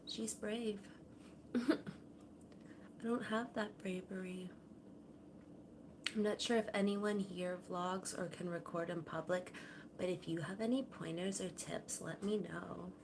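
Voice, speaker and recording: A woman hosting a casual livestream from her home kitchen, talking softly and rambling, her sentences often trailing off quietly. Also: lowish voice, creaky, background noise. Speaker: livestream host. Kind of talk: casual chat to viewers. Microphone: camera on a tripod.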